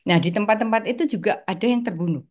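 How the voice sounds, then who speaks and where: A woman in her sixties from East Java, a guest recalling her family's past in an interview, pausing often with fillers. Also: medium pitch, a little mellow. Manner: hesitant, many filler pauses. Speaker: guest, article author. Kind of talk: interview, personal recollection.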